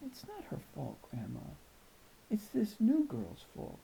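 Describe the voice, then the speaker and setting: A man's voice: high voice, huge pitch swings, measured pace, creaky, warm tone, a little hiss or hum, voice pushed up, full character voice, low-quality recording. A man voicing an upset, insecure young schoolgirl in a storybook, plaintive and protesting. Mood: defensive. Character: upset, insecure fifth-grade farm girl, loyal. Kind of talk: storybook character reading.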